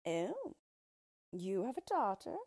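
A woman voicing an ugly little yellow dwarf man from a storybook, smug, sly and disdainful, speaking in a gloating, mock-polite, emphatic way. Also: mid-range voice, very animated, unhurried, creaky, husky, pitched above the performer's own voice, exaggerated voice. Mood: eager, scheming. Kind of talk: storybook character reading.

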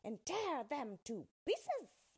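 A woman doing a shrill wicked witch. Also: huge pitch swings, slow pace, a little mellow, full character voice. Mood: commanding.

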